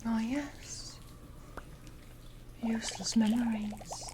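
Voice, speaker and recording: A woman playing a predatory, commanding siren in an ASMR roleplay, whispering breathily with slow, deliberate, menacing calm. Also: a little high, a little hiss or hum. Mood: coaxing, sinister. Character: predatory, commanding female siren possessing her victim.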